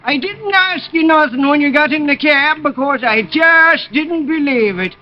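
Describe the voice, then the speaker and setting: A gawking big-city cab driver talks in a strikingly high, nasal voice, drawn out and amazed. It is a vintage radio drama recording with a muffled, dull top end. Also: male voice, lively intonation, unhurried. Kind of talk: 1940s radio acting.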